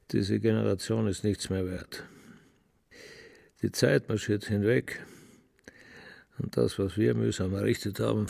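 A bitter old patriarch in a radio drama, low and very gravelly. He speaks slowly and grimly, weary of his heirs, close and dry. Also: darkish tone, no room sound, exaggerated voice. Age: older. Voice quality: gravelly, husky. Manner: slow, rhetorical, grim.

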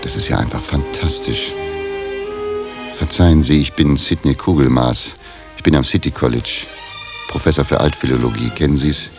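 An actor playing a grumpy, sceptical middle-aged professor hungry for romance in a radio drama. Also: male voice, rumbling low, normal speed, music in the background. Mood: awed, nervous.

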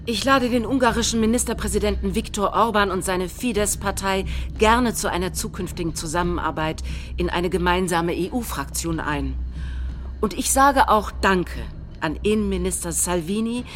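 Actress as formal politician giving a speech, measured, radio play, quiet music underneath. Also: female voice, medium pitch, close to an everyday voice. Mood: formal, courteous. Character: formal politician welcoming allies at a rally. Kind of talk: staged recitation of political speeches.

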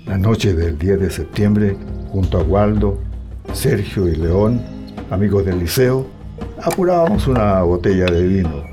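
A man playing an older man with a Chilean accent, nostalgically recalling his militant youth in a radio drama monologue over music. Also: low-pitched. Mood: grave. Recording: music behind the voice.

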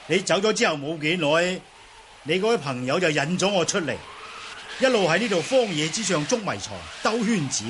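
A man's voice: on the high side, lively intonation, brisk, a bit bright, a little hiss or hum. A man playing an earnest young nobleman hero in a Cantonese martial-arts radio drama, theatrical and exclamatory. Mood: puzzled.

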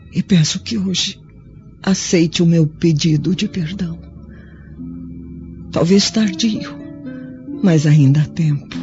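An actress with a Brazilian accent playing a dying, remorseful Roman widow in a radio drama, speaking formally and sorrowfully. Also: female voice, sits low, warm tone. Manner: slow, formal, sorrowful, theatrical. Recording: dull lo-fi audio with music behind.

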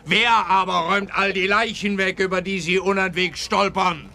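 A man's voice: high-pitched, fairly even intonation, normal speed, bright, forceful tone, exaggerated voice. An actor playing an exasperated, self-righteous policeman in a radio play. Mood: indignant.

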